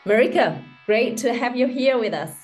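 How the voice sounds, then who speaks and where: A middle-aged woman hosting a podcast interview, admiring and quick to laugh. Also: a little high, animated, soft background music. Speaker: podcast host.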